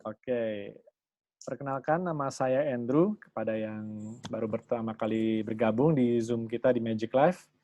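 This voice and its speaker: A man's voice: mid-range voice, warm tone, no room sound. A man giving an upbeat, salesy online business presentation over a video call. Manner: upbeat, salesy, quick bursts.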